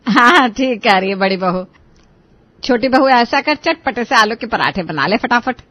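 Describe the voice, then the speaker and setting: A woman's voice: fairly high pitch, lively intonation, moderate pace, pushed and bright, dry recording. A woman voicing an older, fond, commanding mother-in-law in a family cartoon, approving and instructing. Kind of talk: Hindi family cartoon voice acting.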